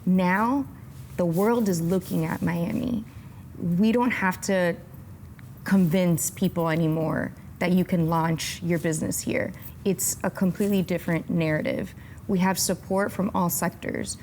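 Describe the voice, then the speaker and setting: A woman answering interview questions as an executive guest, upbeat, with measured phrasing. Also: on the low side, steady tone, a little mellow, slight background noise. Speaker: tech company executive and guest.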